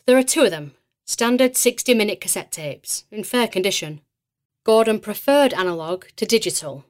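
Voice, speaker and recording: A poised female mystery podcast host, played by an actress, with a slightly low voice. She narrates eerie cases from a script in a measured, theatrical way, articulating carefully for an audio drama. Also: natural voice.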